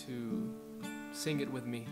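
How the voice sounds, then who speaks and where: Young colombian guy, spanish first language, halting soft talk between songs at home, slow, into a studio mic. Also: mid-range voice, very animated. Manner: soft-spoken, halting, with many restarts. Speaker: worship musician. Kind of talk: introducing songs in a livestreamed worship set. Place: living room at home, indoors. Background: faint acoustic guitar.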